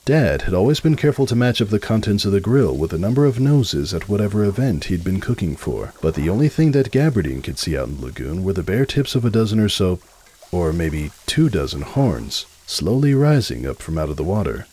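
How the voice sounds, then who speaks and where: A male narrator gives a dramatic story reading in a very low, husky voice. His delivery is expressive, with lively rises and falls in pitch. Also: fairly quick, poor audio. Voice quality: deep, husky.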